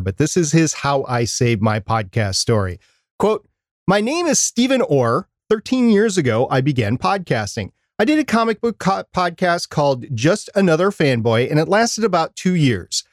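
A man co-hosting a podcast, talking and reading aloud in an animated, emphatic way. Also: fairly high pitch, no room sound, clean studio sound. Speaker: podcast co-host. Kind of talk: podcast talk and reading out a listener email.

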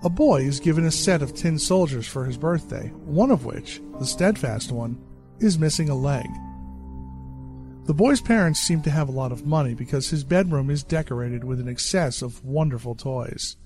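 A man's voice: a little high, expressive, moderate pace, a little mellow. A man narrating a short story with wry, arch asides, with faint music behind him.

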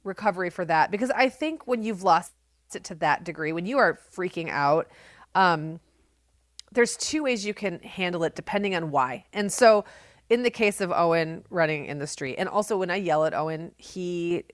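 A woman in her forties co-hosting a podcast, telling stories in a loud, animated, projecting voice. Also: on the low side, moderate pace, no room sound, studio quality. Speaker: podcast co-host. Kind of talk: casual chat.

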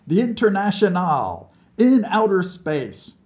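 A man's voice: sits high, sing-song, a little slow, darkish tone, voice pushed up. A man voicing a cocky, tipsy male Soviet scientist who brags and gossips in a conspiratorial way. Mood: boastful. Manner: boastful, chatty, conspiratorial. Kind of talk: audiobook character voice.

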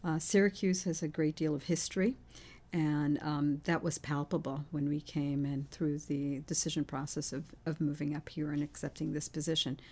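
Woman, middle-aged, low pitch, measured and explanatory, conversational interview guest. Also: level tone, a little mellow. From born in Haiti, raised in Queens, New York. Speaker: interview guest, physician and university president.

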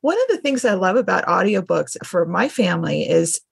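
A woman's voice: on the low side, sing-song, fairly quick, gravelly, husky, no room sound, clean studio sound. A middle-aged woman, a homeschooling mother, chatting casually as the interviewer in a conversation.

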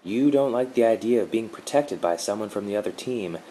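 A man voicing a sweet-talking female dark guardian spirit, soothing and gently mocking. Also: fairly low pitch, animated, brisk, a little hiss or hum, voice pushed up, natural voice, low-quality recording. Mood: affable.

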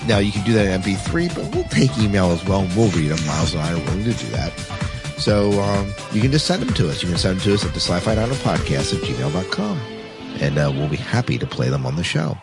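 A man's voice: low voice, very animated, quick pace, loud music behind the voice. A man co-hosting a podcast in a casual, informal, conversational way. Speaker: podcast co-host.

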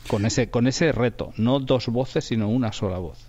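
A man's voice: mid-range voice, moderate pace, darkish tone, lo-fi sound. A man with an accent from Spain, talking reflectively in an interview as a radio drama director, often repeating words for emphasis. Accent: Spanish from Spain.

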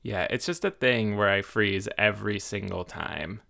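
A man's voice: on the low side, normal speed, vocal fry, sharp tone. A man co-hosting a podcast, chatting casually in a self-deprecating, rambling way. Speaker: podcast co-host. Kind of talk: casual chat.

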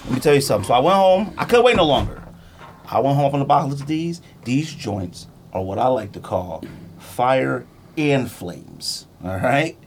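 A man chatting casually about sneakers in an animated, emphatic, slangy way, like a podcast co-host. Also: medium pitch, moderate pace, slight background noise. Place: podcast studio.

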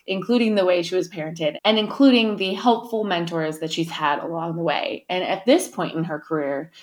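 A woman hosting a podcast, giving an upbeat, chatty introduction and interviewing a guest, with many small fillers. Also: fairly low pitch. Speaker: podcast host. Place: my town.

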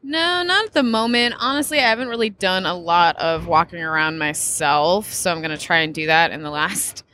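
A woman's voice: lowish voice, normal speed, bright, forceful tone, a little hiss or hum. A woman chatting casually as a guest on a podcast. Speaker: podcaster, guest team member. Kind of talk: casual chat. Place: booth in a very large trade show hall.

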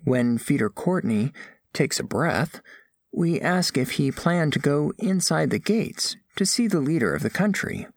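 A man's voice: fairly high pitch, lively intonation, unhurried, creaky, no echo, exaggerated voice. A man voicing an earnest alien scholar diplomat, narrating an audio drama in formal, stilted, precise phrasing. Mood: formal, solemn.

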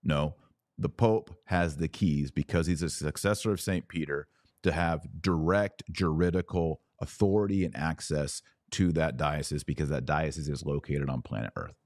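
A man giving explanatory religious commentary as a podcast host, speaking in an emphatic, deliberate teaching manner and repeating phrases for effect. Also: very deep voice, darkish tone, dry recording, studio quality.

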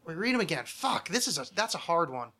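A man's voice: fairly high pitch, very animated, quick pace, bright, forceful tone. A man chatting casually as a podcast host, hesitant and thinking aloud, often self-critical and swearing freely. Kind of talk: casual chat, answering trivia questions.

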